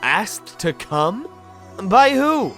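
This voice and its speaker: Animated, bewildered amnesiac spirit played by an actor. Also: mid-range voice, extremely slow, faint music behind, heavily acted. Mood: incredulous. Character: bewildered amnesiac spirit, polite, dry-witted, sympathetic narrator. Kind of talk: dramatic fan dub with inner monologue.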